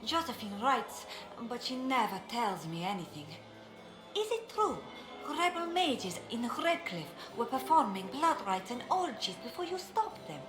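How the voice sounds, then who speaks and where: A high, girlish young noblewoman in a video game, gushing and hungry for gossip. Her voice rings in a roomy space with music behind it. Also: unhurried. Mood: excited, gushing. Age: young adult. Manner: excitable, gossipy. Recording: roomy echo under music.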